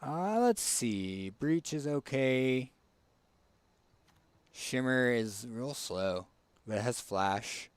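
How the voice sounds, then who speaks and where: Streamer type, raspy and a bit hoarse, casual think-aloud game commentary, mid-pitched. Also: male voice, lively intonation, extremely slow, long pauses, no echo. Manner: thinks aloud, hesitant fillers and restarts. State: hoarse, tickly throat.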